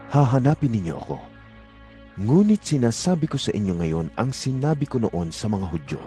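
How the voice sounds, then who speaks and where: Guy playing a solemn male teacher in a bible audio drama, low mellow voice, lots of rise and fall, earnest.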